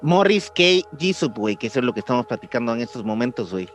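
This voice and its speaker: A man with a Mexican accent, co-hosting a podcast and explaining things in a relaxed, chatty way. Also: medium pitch, fast, soft background music. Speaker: podcast co-host. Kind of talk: casual chat.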